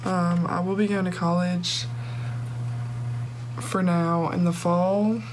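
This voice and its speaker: A young woman with a deep voice, chatting casually and joking through a makeup tutorial. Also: flat delivery, takes it slowly, background noise. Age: young adult. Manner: chatty and joking, heavy use of "like" and "literally". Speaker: beauty vlogger.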